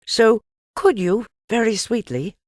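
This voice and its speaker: A woman's voice: fairly high pitch, animated, slow, a little mellow, no room sound, clean studio sound, voice pushed up. A woman voicing an eager, curious, polite little boy in a children's storybook, with an earnest, wheedling manner. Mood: pleading, eager. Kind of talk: children's storybook character reading.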